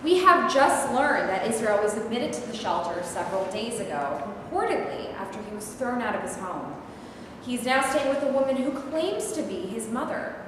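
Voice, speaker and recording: A woman's voice: on the high side, lively intonation, moderate pace, natural voice. A woman playing a self-important, eager TV star reporter in a staged play reading, with formal, probing, declarative delivery. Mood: dramatic, announcing. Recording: echoey hall, clear background noise.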